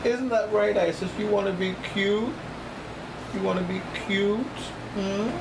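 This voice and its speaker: A man's voice: high-pitched, steady tone, measured pace, vocal fry. Middle-aged man chatting over noticeable background noise. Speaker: vlogger, psychic reader. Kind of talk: casual chatty monologue to camera. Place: small apartment room by a kitchen, indoors.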